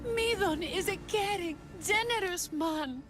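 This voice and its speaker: Very high, impassioned young woman. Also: a little slow, over music. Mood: defensive. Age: young adult. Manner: impassioned, defensive. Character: passionate, protective young female devotee of an old priest. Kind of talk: dramatic video game acting.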